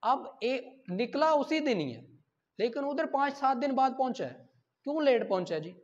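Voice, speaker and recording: A man's voice: very high voice, sing-song, moderate pace. A man with a Pakistani accent lecturing as a law teacher, explaining through examples, asking and answering his own questions and mixing in English terms. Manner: code-switches into English terms. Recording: dull lo-fi lecture audio.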